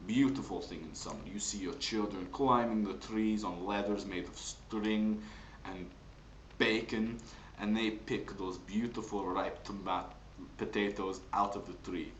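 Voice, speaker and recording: Man as Russian villager, low and slow, broken English, Russian accent, echoey room. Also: fairly even intonation, slight background noise, close to an everyday voice. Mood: wistful, earnest. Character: slow, earnest Russian peasant man spinning absurd hardship tales.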